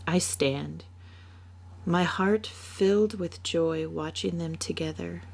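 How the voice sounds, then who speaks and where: A woman narrating a written story in a deliberate storytelling manner, as an author reading her own work. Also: on the low side, vocal fry, a little mellow.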